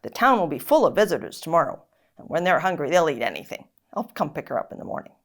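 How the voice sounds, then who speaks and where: A woman's voice: deep voice, big pitch swings, quick pace, voice pushed down. A woman voicing a blunt male butcher who bargains in a businesslike way in a children's story. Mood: conceding, insistent.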